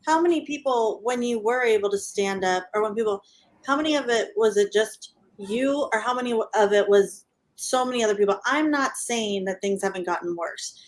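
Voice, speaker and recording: A woman in her thirties speaking as a guest in a casual panel discussion, halting and rephrasing as she goes. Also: on the high side, low-quality recording.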